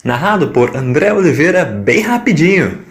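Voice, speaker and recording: A man's voice: on the high side, very animated, normal speed. A man with a Brazilian accent reading scripted narration for an audio drama. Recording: music behind the voice. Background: music.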